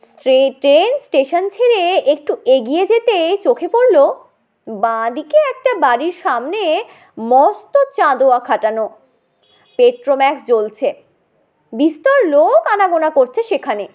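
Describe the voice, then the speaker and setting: A woman narrating a comic audio story in an expressive, theatrical way. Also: sits high, moderate pace.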